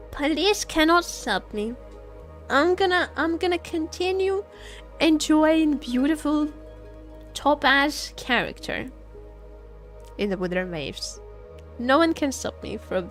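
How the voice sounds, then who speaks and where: A woman game streamer chatting casually and playfully over gameplay, often repeating her phrases. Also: high-pitched. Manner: playful, repeats phrases, teasing role-play as a vampire. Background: video game music.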